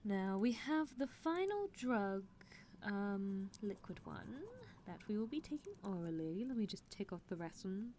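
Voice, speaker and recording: A woman's voice: mid-range voice, sing-song, a little slow, a little mellow. A woman voicing a gleefully unethical horse-girl scientist in an ASMR roleplay, musing in a coolly clinical, teasing manner. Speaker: streamer performing character roleplay. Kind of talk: ASMR roleplay, improvised character monologue. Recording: clear background noise.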